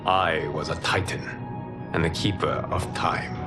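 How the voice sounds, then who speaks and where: An actor playing a solemn, noble demigod in a fighting game, speaking formally and gravely. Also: male voice, low voice, fairly even intonation, measured pace, loud music behind the voice. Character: solemn, noble male demigod, former keeper of time. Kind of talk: cinematic game dialogue.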